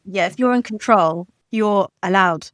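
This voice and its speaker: A woman's voice: medium pitch, unhurried, no echo, clean studio sound. A woman hosting a podcast interview, asking questions in a reflective way and thinking aloud. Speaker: podcast host.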